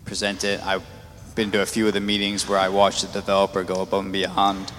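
A man speaking as a city councilman at a public meeting, halting with fillers and restarts. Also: deep voice, completely flat delivery, a bit bright, faint music behind. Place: council chamber. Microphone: meeting microphone. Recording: echoey chamber, faint noise.

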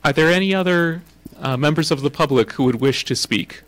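A man chairing a city council meeting, formal and measured, with frequent pauses. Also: on the high side, fairly bright tone. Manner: formal, measured, many uh fillers.

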